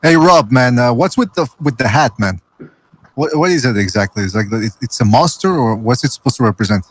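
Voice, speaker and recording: A man's voice: mid-range voice, lively intonation, brisk, slightly rough. A man chatting casually on a podcast, curious and joking. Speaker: podcast co-host.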